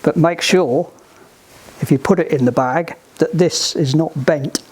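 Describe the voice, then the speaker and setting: A man giving hands-on instruction to a group in a halting, deliberate, emphatic way, on an amateur recording. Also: a little high, darkish tone, breathy edge. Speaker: sailing club instructor giving radio training.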